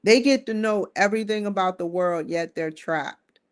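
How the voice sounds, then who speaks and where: A woman's voice: medium pitch, normal speed, smooth. A woman in her forties hosting a live-streamed solo talk show, rambling earnestly with frequent restarts. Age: 40s. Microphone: streaming setup.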